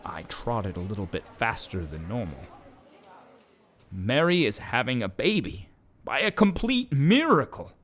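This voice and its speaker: A man voicing an animated, bemused storytelling donkey. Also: medium pitch, unhurried. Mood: wry, concerned. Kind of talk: children's audio drama narration.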